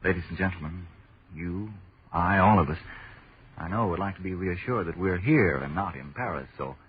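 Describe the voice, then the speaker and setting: Low, husky male radio host. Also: moderate pace. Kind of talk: old-time radio announcing. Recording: muffled old radio recording.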